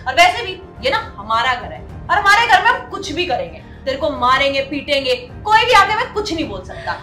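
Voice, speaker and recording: Girl acting nasty snobby woman customer, very high bright voice, scolding, echoey room w faint music. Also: wildly swooping pitch, fairly quick, exaggerated voice. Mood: scornful. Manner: scolding, contemptuous, taunting. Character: haughty, cruel woman customer bullying a worker. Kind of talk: melodramatic scripted Hindi skit acting. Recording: roomy echo, faint music behind.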